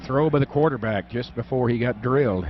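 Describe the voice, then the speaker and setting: A man's voice: mid-range voice, fairly quick. A man giving conversational football analysis as a radio color commentator. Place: stadium broadcast booth. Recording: dull radio broadcast sound.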